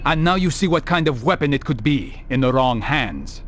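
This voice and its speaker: An actor playing a stern, resolute medieval assassin in a video game, speaking in a solemn, terse, commanding way. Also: male voice, medium pitch, lively intonation, normal speed, a bit bright, faint music behind, natural voice. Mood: grave, guarded. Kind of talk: video game cutscene acting.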